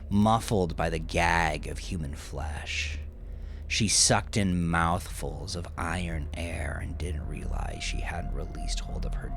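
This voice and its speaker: A man narrating dark, violent fiction in an intense, theatrical manner that swings between hushed and forceful. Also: low-pitched.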